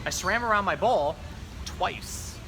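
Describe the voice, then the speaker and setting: A man's voice: sits high, expressive, slow, noisy background, full character voice. A man voicing an exasperated, fussy pet goldfish in a children's storybook read-aloud, with dry, grumbling delivery. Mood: plain, bored.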